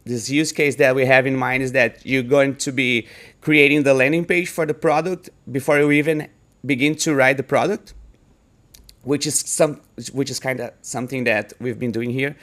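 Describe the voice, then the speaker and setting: A man's voice: medium pitch, steady tone, a bit bright. A man with a Brazilian Portuguese accent, a startup founder casually walking through a screen-share demo of his product, often restarting and filling in with "like" and "uh". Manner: halting, many restarts and fillers.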